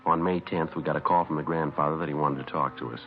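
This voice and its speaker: An actor playing a businesslike police sergeant, narrating a 1950s radio crime drama in a clipped, understated, matter-of-fact way. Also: male voice, rumbling low, fairly even intonation, quick pace, faint music behind. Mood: flat, matter-of-fact. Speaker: detective narrator. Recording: dull vintage radio recording.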